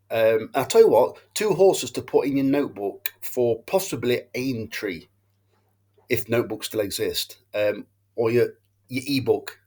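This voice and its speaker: A man's voice: mid-range voice, a little mellow. A man, stuffed up with a cold, rambling through his horse racing tips in a casual monologue and often correcting himself. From England. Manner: rambling, self-correcting, loses his thread. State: bunged up with a cold and hangover. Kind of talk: casual tipping monologue to camera.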